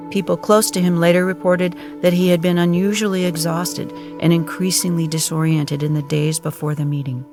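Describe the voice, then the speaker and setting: A woman narrating an audio drama in a solemn, measured storytelling manner. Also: sits low, gravelly.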